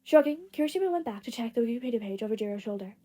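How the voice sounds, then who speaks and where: High, husky-voiced woman narrating fiction. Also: lively intonation, rapid-fire, mellow. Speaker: podfic narrator. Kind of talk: scripted storytelling narration of fan fiction.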